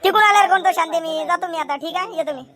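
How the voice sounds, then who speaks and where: Woman as a bossy cartoon farmer man, extremely high pitch, bright edge, Vidarbha Marathi accent, loud rustic grumbling. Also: racing. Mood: bossy calling. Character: grumbling, bossy male village farmer with a tractor. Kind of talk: homemade rural comedy cartoon dialogue.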